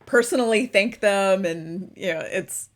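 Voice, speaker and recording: A woman hosting a casual interview, relaxed and agreeable, talking in a loose, rambling way. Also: medium pitch, unhurried. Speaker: interview host.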